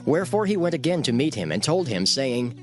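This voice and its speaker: A man narrating dramatized scripture in a measured, solemn storytelling manner. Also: medium pitch, very animated. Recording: faint music behind the voice.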